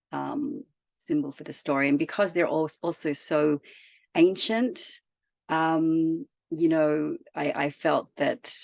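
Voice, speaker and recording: An upbeat, articulate woman from Australia presenting her new children's book. Her voice sits low, and the recording is dry and close. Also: creaky, a little mellow, dry recording. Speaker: children's author. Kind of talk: author presentation. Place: Toronto.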